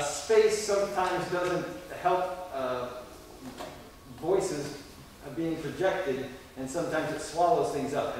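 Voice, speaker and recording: A man with a fairly high voice gives a live welcome speech in a halting, informal way. He sounds far from the microphone in a very echoey hall. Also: slight background noise. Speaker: teacher introducing a school play. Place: large echoing indoor performance space.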